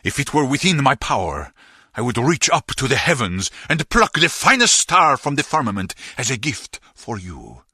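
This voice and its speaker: Game goon and would-be romantic, cheeky, rough-edged voice, widely varied intonation, dry sound. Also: male voice, mid-range voice, sing-song, moderate pace, sharp tone, no echo, exaggerated voice. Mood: tender, poetic. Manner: cheeky, theatrical. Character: lustful henchman turned flowery would-be romantic.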